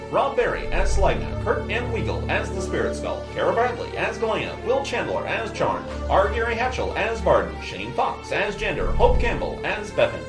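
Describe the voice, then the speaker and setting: A man reading the end credits of an audio drama in a formal, measured way. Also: sits high. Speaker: credits announcer. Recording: credits read over loud music.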